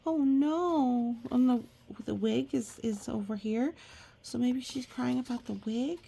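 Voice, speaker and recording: A woman reads a picture book aloud at an easy, slow pace. Her voice is raspy with plenty of fry. She slips into chatty asides, explaining gently as if to small children. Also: a little high. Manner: expressive, exclaiming and gasping in reaction to pictures.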